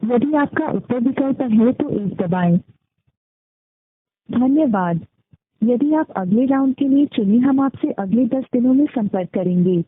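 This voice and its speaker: Phone-line woman reading slow, formal automated prompts. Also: on the high side, expressive. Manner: formal, measured, instructional. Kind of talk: scripted IVR prompts. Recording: muffled, phone-like, roomy call playback. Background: phone call recording played back.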